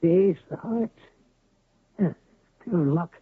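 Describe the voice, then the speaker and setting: An actor playing a gruff, worn-out rancher and unlucky gambler with gravelly, folksy speech in an old radio drama. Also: male voice, sits high, takes it slowly, dry recording, heavily acted. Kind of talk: 1970s radio drama acting. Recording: lo-fi phone-band dry old radio recording.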